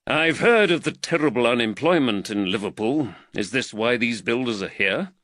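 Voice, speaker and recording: A man reading an audiobook voices a calm, dry-witted male detective in a plain, measured voice close to his own. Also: medium pitch, dry recording, close to an everyday voice.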